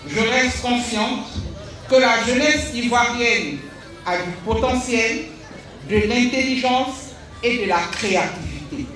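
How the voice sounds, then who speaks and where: A woman's voice: fairly high pitch, animated, slow, fairly bright tone. A woman giving a formal speech as a school principal. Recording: very echoey, distant, music behind.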